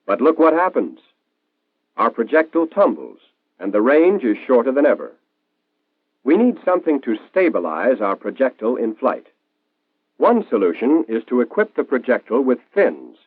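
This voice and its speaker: An American man reading scripted narration for a 1940s instructional film in a clear, didactic, explanatory manner. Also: mid-range voice, no room sound. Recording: tinny band-limited 1940s film soundtrack.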